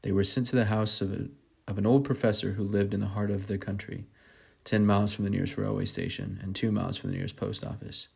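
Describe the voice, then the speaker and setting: A man's voice: fairly low pitch, little pitch movement, fast, gravelly, breathy edge. A man chatting casually and hesitantly in a self-deprecating way, sometimes reading aloud. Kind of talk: casual rambling chat, with some reading aloud from a book.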